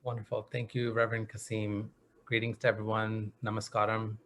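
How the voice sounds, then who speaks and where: A man speaking on a video panel, thoughtful and reflective, often pausing to find the right words. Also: on the low side, completely flat delivery, fairly bright tone, a little hiss or hum. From grew up in the US Northeast. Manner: thoughtful, searching for words, frequent restarts. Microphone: video call.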